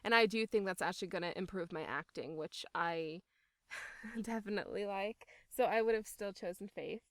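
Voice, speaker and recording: A woman's voice: medium pitch, normal speed, fairly bright tone, no echo, clean studio sound. A young woman chatting casually as an interview guest, talking in an enthusiastic, emphatic way. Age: young adult. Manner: enthusiastic, emphatic, laughing as she talks. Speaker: voice actor interviewee.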